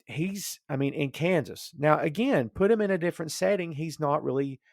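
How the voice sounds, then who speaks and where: A man's voice: fairly high pitch, animated, moderate pace, vocal fry, no echo, clean studio sound. A man in a casual, opinionated podcast discussion, rambling and thinking aloud as he goes. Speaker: podcast host discussing comics and film.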